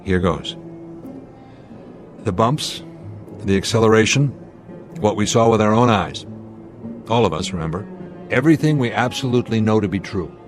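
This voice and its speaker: An actor playing a steady, authoritative airline captain in a radio drama, reasoning deliberately and giving commands. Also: male voice, lowish voice, soft background music, close to an everyday voice. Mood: grave, deliberate.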